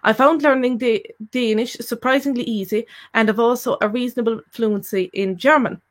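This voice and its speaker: A woman's voice: a little high, steady tone, moderate pace. A woman with an Irish accent co-hosting a live language-learning Q&A and reading out viewer questions, speaking hesitantly with many fillers and restarts. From Ireland.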